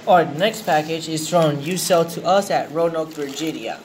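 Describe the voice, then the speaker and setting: A man's voice: a little high, moderate pace. Man narrating an unboxing, noisy room. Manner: excited, thinking aloud. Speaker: unboxing video host. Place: indoors, tiled floor room. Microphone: handheld phone camera.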